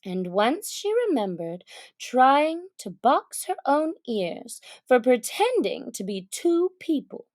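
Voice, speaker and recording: High, lively, unhurried woman storyteller. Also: no room sound. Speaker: storybook narrator. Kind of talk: expressive scripted children's story narration.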